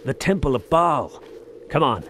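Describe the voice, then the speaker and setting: An actor playing a weary, hard-bitten soldier of fortune in an audio drama, speaking in folksy old-fashioned slang with grim resignation. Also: male voice, mid-range voice, very animated, measured pace, background noise. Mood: awed, excited.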